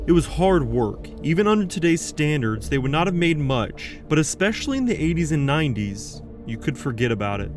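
A man telling a true story as a scripted narration, earnest with dramatic pauses. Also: mid-range voice. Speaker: documentary narrator. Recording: music bed under voice. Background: music.

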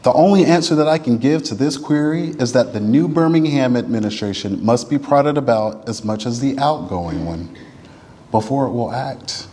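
A man reading a written text aloud in a formal, oratorical style, with measured, deliberate and emphatic phrasing. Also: lowish voice, dark tone, a little hiss or hum. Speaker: reader at a church event. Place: large church interior. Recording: reverberant large hall.

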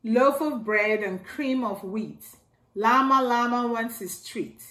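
A middle-aged woman reading a rhyming picture book aloud to children in a slow sing-song way, filmed indoors at home by a camera a few feet away. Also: a little high, bright, forceful tone. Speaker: storytime reader. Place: living room by a staircase, indoors. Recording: echoey room.

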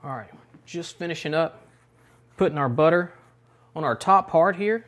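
A middle-aged man hosting a cooking show, talking through a recipe step by step in a relaxed, instructional way from a home kitchen. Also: on the high side, moderate pace.

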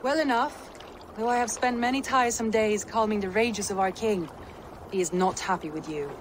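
An actress playing a composed, frank Viking noblewoman in a video game, measured and formal. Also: mid-range voice, slight background noise, lightly acted. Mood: dry, frank. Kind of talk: dramatic game cutscene acting.

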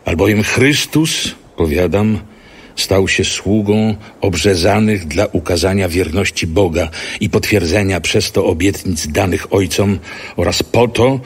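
A man's voice: deep voice, measured pace, husky. A man giving a solemn scripted reading of a scriptural letter. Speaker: scripture reader.

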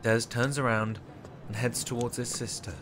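A man's voice: medium pitch, fairly even intonation, normal speed, noisy background. A man giving scripted narration for an audio drama, describing the action. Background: music.

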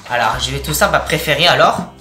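A man's voice: a little high, pushed and bright, soft background music. Rambling young man in an echoey room. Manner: rambling, repetitive, halting. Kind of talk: casual vlog with acted-out conversations. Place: small attic bedroom, indoors. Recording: roomy, echoey webcam-style recording.

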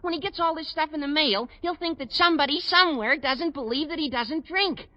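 An actor playing an eager, scheming teenage schoolboy with a gushing, mock-grandiose manner in an old-time radio sitcom. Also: male voice, very high-pitched, fairly quick. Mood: scheming glee. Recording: dull 1940s radio recording.

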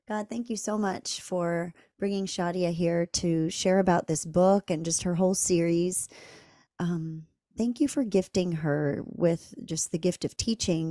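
A woman podcast host closing an interview with a gentle, earnest spoken prayer, with soft fillers along the way. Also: on the low side, dry recording, clean studio sound.

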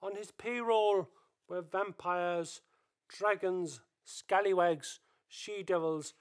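A man's voice: sits high, steady tone, takes it slowly, smooth. A man narrating a spooky radio play as a dramatic scripted storyteller.

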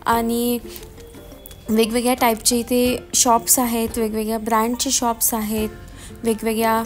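Lady doing casual vlog voiceover, highish voice, music behind her. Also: monotone, unhurried. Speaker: family vlogger. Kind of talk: casual voice-over narration of a mall visit.

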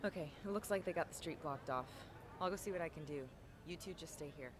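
An actress playing a capable, level-headed young woman journalist in an action video game, speaking briskly and practically. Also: deep voice, natural voice. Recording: game audio with clear background noise.

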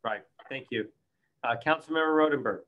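A man chairing a city council meeting, calling on members and giving updates with frequent pauses and restarts. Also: mid-range voice, highs cut off. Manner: halting, fills pauses with uh and restarts.

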